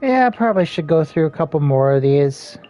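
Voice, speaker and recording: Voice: mid-range voice, lively intonation, brisk. A game streamer chatting casually while playing, rambling and half muttering to themself. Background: soft game music.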